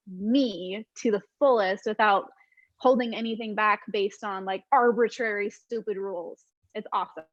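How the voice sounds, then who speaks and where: A woman's voice: a little high, dry recording. Young woman guest, bubbly, heard over dry, muffled call audio. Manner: bubbly, quick, peppered with "like". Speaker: guest YouTuber. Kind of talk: casual livestream chat.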